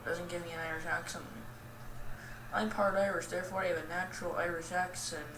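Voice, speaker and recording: A young woman giving casual, rambling game commentary in a self-deprecating, goofy and halting way. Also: fairly low pitch, little pitch movement. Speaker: gaming video host. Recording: roomy, distant mic with clear noise.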